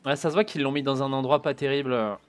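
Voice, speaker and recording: A man's voice: mid-range voice, steady tone, fast talker. A man streaming a video game with casual, chatty commentary, muttering and swearing as he plays. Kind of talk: casual let's-play commentary.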